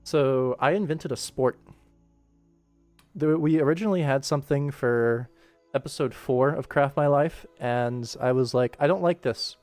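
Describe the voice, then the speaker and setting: A man's voice: medium pitch, a little slow, a little mellow, dry recording, faint music behind. A young American man talking casually and enthusiastically on a livestream, emphatic and given to going off on tangents. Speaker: livestream host.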